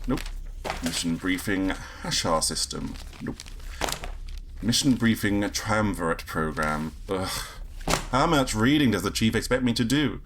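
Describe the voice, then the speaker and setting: A man playing a grumpy, sardonic space agent in a sci-fi audio drama, with a husky voice and a wry, grumbling manner. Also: lowish voice, animated, a little slow, noisy background. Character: grumpy, trigger-happy male space agent, sardonic and exasperated. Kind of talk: first-person sci-fi audio drama narration and dialogue.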